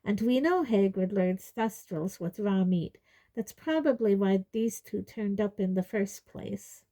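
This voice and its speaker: A woman's voice: mid-range voice, lively intonation, measured pace, mellow, close to an everyday voice. A woman voicing a confident, stubborn teenage witch girl in a storybook, cool and matter-of-fact.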